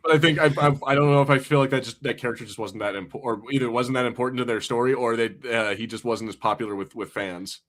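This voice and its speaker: A man's voice: medium pitch, quick pace, hardly any pauses, fairly bright tone. A man co-hosting a podcast, chatting casually about films in a blunt, rambling, sweary way. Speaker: podcast co-host. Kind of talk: casual film banter.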